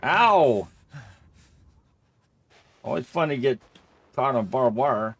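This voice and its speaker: A man's voice: mid-range voice, lively intonation, slow pace, gravelly, fairly bright tone, husky. A man giving casual Let's Play commentary on a horror game, rambling and thinking aloud as he plays, often repeating himself. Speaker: gamer narrating a horror game playthrough.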